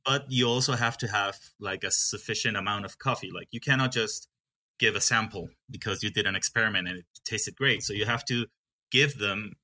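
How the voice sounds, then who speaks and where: This is a young man from Peru who runs a coffee farm. His voice sits slightly low but has a bright, forward tone. He speaks casually, with frequent restarts and filler words. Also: fairly quick. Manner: hesitant, with many restarts. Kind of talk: casual interview.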